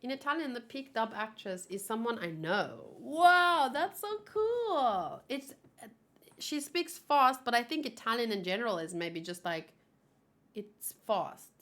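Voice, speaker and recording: A woman's voice: on the high side, animated, a little slow, fairly bright tone. A woman whose first language is Afrikaans, chatting casually in a rambling, giggly way and often correcting herself as she hosts a manga read-along.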